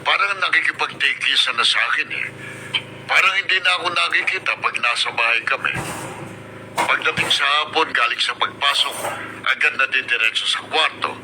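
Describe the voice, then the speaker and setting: A man's voice: medium pitch, moderate pace, close to an everyday voice. Actor as a bitter grumbling father, noisy broadcast. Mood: bitter, brooding. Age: middle-aged. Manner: grumbling, agitated, rhetorical questions. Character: bitter resentful working-class father, suspicious of his son. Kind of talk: radio drama acting.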